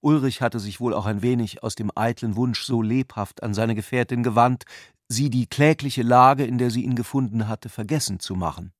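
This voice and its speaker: A man reading literary narration for a radio drama in a measured, articulate way. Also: medium pitch, no room sound, clean studio sound.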